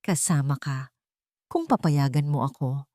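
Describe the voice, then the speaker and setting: A woman's voice: low voice, a little slow, mellow, no echo, clean studio sound. A woman voicing a remorseful, wealthy male CEO who pleads humbly and earnestly in a romantic audio drama. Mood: earnest vowing.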